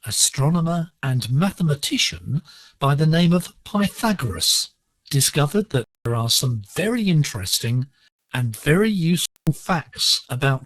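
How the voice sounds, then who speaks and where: Male narrator for educational radio: unhurried, instructive scripted delivery with animated pitch and a rough-edged, dry sound. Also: no room sound, low-quality recording. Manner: measured, didactic. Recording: digital shortwave radio broadcast, slightly rough.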